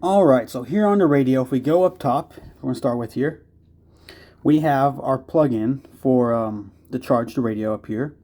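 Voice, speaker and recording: Man, mellow dark tone, casual hands-on reviewer, rambling and hesitant, folksy phrasing. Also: medium pitch, moderate pace, slight background noise. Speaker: product reviewer.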